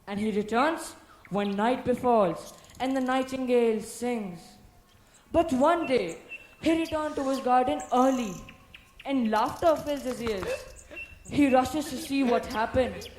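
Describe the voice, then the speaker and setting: Slow, measured young storyteller on stage. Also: mid-range voice, slight background noise. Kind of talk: dramatic recited narration in a school play.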